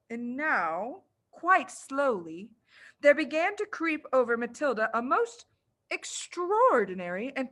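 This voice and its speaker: A woman narrates a children's book in a bright, fairly high voice. She reads dramatically, placing her phrases deliberately to build suspense. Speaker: audiobook reader.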